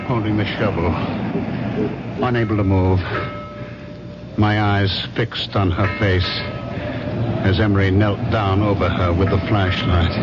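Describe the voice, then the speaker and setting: A man's voice: deep voice, very noisy. An actor playing a brooding, jealous husband who tells his story intensely in a radio mystery drama. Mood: stunned, anguished. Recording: dull old radio recording, loud music behind.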